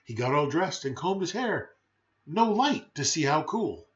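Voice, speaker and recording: A man's voice: on the high side, sing-song, a little slow, muffled recording. A man reading a children's rhyming picture book aloud in a theatrical, playful, emphatic way with brief chatty asides.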